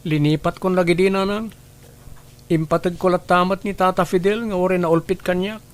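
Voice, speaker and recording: An actor playing a dutiful, forgiving young son in a radio drama, speaking earnestly and gently. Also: male voice, high-pitched, steady tone, fairly quick, natural voice. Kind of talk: Ilocano radio drama acting.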